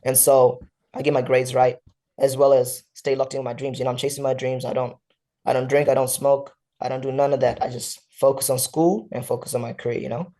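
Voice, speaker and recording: Laid-back young man, mellow voice. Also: mid-range voice, level tone, fairly quick. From South Sudan. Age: young adult. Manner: laid-back slang, frequent "you know". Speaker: fighter, guest. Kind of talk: casual interview.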